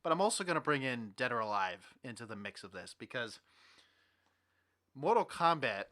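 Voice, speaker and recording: Young adult man, very bright sharp tone, medium pitch, casual podcast banter, animated, rambling. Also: normal speed. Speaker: podcast host. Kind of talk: casual banter about movies and games.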